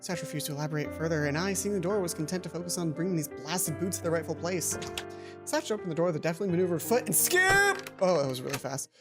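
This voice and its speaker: Let's play narrator, mid-pitched, theatrical reading with casual asides and small stumbles, game music behind. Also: animated, quick pace. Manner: dramatic read-aloud mixed with offhand asides, stumbles over lines. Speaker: gaming video host. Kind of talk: let's play, reading visual novel aloud with casual commentary.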